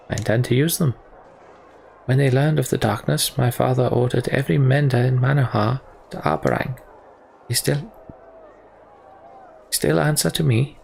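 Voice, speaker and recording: Young fantasy-game prince, played by a man: candid, disillusioned, reflective, creaky voice at middling pitch. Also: darkish tone, slight background noise, rough recording. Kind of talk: voiced game dialogue reading.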